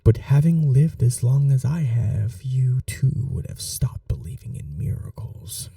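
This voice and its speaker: A man playing a slow gravelly vampire lord. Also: mid-range voice, big pitch swings, dry recording, studio quality, distant mic, exaggerated voice. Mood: predatory, menacing. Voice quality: soft, husky, half-whispered. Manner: courtly, slow and seductive, turning menacing. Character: charming predatory male vampire lord, ancient, cunning. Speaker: ASMR roleplay voice actor. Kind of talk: whispered ASMR roleplay.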